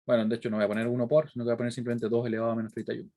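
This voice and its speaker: A man's voice: mid-range voice, level tone, racing, warm tone. A man with a Chilean accent giving an explanatory lecture to students, working through examples step by step and often checking in with short questions. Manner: didactic, frequent check-in questions. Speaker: university lecturer.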